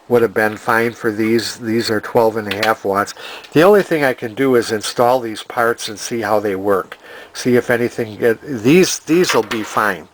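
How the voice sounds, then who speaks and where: A man giving a casual hands-on repair walkthrough as a hobbyist host, thinking aloud haltingly and often repeating words. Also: medium pitch, a bit bright. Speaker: vintage radio repair hobbyist and video host.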